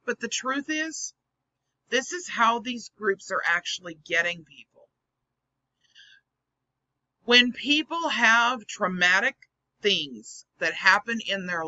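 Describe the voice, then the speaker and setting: A vlog host with a hoarse, scratchy voice, earnestly explaining the psychology behind the topic in casual commentary. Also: mid-range voice, sharp tone. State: hoarse, losing voice. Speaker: channel host. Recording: dull lo-fi recording.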